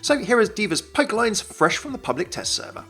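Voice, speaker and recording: A man's voice: high voice, very animated, moderate pace, a bit bright, soft background music. A man narrating a scripted video game explainer as a YouTube host, enthusiastic and explanatory.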